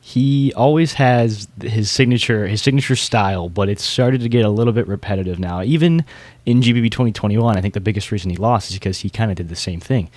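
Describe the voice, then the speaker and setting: A man's voice: lowish voice, quick pace, a little mellow, no room sound. A man hosting a podcast, chatting casually about a competition scene. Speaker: podcast host.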